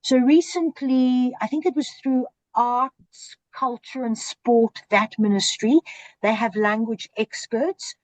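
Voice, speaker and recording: A woman's voice: on the high side, fairly even intonation, slow pace, no echo, rough recording. A woman, a senior broadcast journalist, speaking earnestly and emphatically in a panel discussion over a video call. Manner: earnest, emphatic, lists and repeats for stress.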